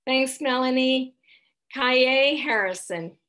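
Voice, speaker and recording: A woman moderating an online panel on a video call, speaking in a measured, formal and explanatory manner. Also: sits high, a bit bright.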